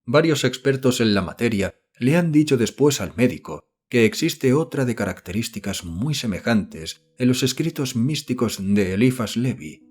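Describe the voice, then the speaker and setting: A man reading a horror novel as an audiobook narrator, in a measured, solemn manner. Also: on the low side, darkish tone, husky.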